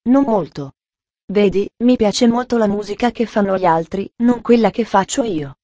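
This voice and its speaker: An eager, talkative little girl from a storybook chatters on earnestly, as a woman reader puts her on. Her tone is soft and mellow, and the sound is dry and close, with no room around it. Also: medium pitch, normal speed, no echo, highs cut off, natural voice. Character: cheerful talkative young girl.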